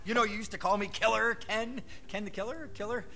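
A man's voice: high voice, fairly even intonation, a little fast, vocal fry, sharp tone, exaggerated voice. A man playing a neurotic, needy, cowardly lawyer who stammers and repeats himself in a video game cutscene. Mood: pleading.

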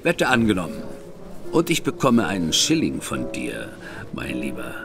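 An actor playing a composed, shrewd older brother who lays out plans in a measured, wry manner. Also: male voice, deep voice, wildly swooping pitch, over music, heavily acted. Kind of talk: German audio drama acting. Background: faint background score.

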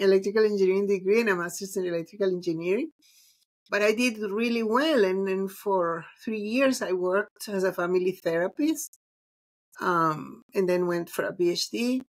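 A middle-aged woman from Venezuela, a psychologist and mindfulness teacher, talking reflectively with frequent ums as a guest on a video call interview. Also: on the low side. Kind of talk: casual interview conversation.

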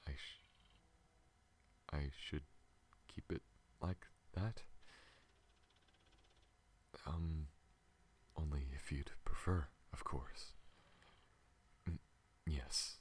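A man voicing a gentle, shy, apologetic alien in an intimate ASMR roleplay, soft-spoken and hesitant, often slipping into a whisper. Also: very deep voice, steady tone, very slow, pauses often, a little hiss or hum, exaggerated voice. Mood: flustered.

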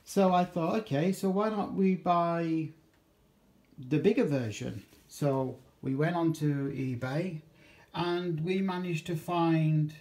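Slow-talking man, rambling in an echoey room. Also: fairly high pitch, a little mellow. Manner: hesitant, rambling, self-correcting. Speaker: amateur radio hobbyist vlogger. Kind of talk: casual unscripted demonstration. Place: home kitchen. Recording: echoey room, handheld camera.

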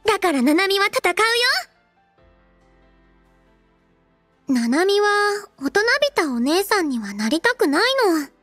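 A woman voicing a cheerful, devoted robot girl in an anime game, childlike and playful, with a cutesy, expressive manner. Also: very high-pitched, fairly quick, no room sound, soft background music. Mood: tender. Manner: cutesy, refers to herself in third person, expressive. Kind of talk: Japanese anime game character voice lines.

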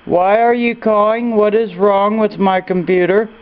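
Adult male taking a phone call, high-pitched voice, very thin phone-like sound. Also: steady tone, a little slow, noisy background. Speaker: person answering a suspicious phone call. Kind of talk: phone conversation. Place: indoors at a home desk.

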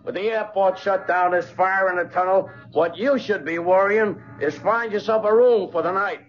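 A man acting a gruff, wisecracking policeman, blunt and slangy, in a notably high male voice. It is a dull old radio play with faint music. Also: moderate pace, close to an everyday voice. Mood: brusque. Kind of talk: 1970s radio drama acting. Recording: dull restored radio broadcast.

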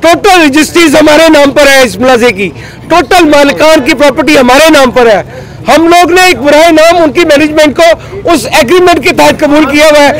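A man's voice: extremely high pitch, low-quality recording. A middle-aged man, a market trader leader pleading in an agitated street interview into a handheld mic. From Pakistan. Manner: agitated, pleading, gesturing hard. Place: outdoors on a busy city street. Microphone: handheld reporter mic.

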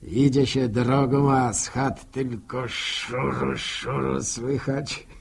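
An actor playing an older, wistful village storyteller in a 1960s radio drama, with a husky, gravelly voice and a rural Polish accent. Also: male voice, medium pitch, fairly even intonation, heavily acted. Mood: wistful musing. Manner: slow, folksy musing. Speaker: narrator.

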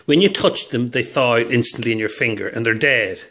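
A man's voice: mid-range voice, lively intonation, fairly quick, pushed and bright. A man casually explaining fishing tips at home while filming his hands on a phone, rambling and chuckling at his own jokes. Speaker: fishing video host. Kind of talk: casual how-to talk to camera.

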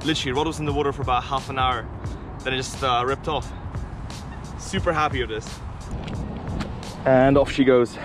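A young man in his twenties, vlogging outdoors by a lake while fishing, talking excitedly and repeating himself as he reels in carp. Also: mid-range voice, music in the background. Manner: excitable, repeats himself, fast exclamations while reeling in fish. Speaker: angler vlogger.

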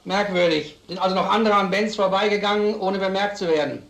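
An actor playing a calm, shrewd veteran police detective, measured, dry and authoritative, in an old crime drama. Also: male voice, high voice. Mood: firm. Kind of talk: mid-century German film drama acting. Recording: roomy old soundtrack, some noise.

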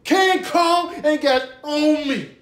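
Man voicing a high-pitched, furious housecat. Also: takes it slowly, roomy sound, very noisy. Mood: threatening. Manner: indignant, swaggering, cursing. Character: furious male housecat, foul-mouthed, vengeful, threatening. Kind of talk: comic animal voiceover over viral clips.

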